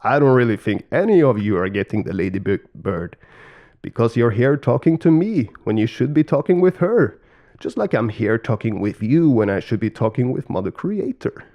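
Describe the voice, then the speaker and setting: A man's voice: medium pitch, big pitch swings, moderate pace, lo-fi sound. A man voicing an earnest, emphatic father creator god, loving yet easily exasperated, in a storybook tale.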